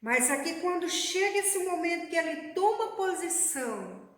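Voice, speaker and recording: Woman preacher, high voice, slow measured delivery, long church-hall reverb. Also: lively intonation, fairly bright tone. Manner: slow, measured preaching, addressing the congregation as beloved. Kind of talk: sermon.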